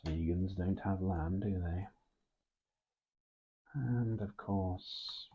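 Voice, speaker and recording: A man acting as a composed British intelligence officer interviewing a recruit in an ASMR role play. His voice is very low and gravelly, with a lot of breath in it. He speaks formally, in a measured hush, and the sound is thin and band-limited. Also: dull audio, full character voice. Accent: British English. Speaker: ASMR performer.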